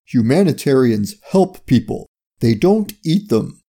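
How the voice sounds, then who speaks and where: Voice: medium pitch, sing-song, measured pace, dark tone. A storyteller voicing a tough, protective male dog with a firm, matter-of-fact delivery. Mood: explaining. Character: tough calm male dog, gruff protective big guard.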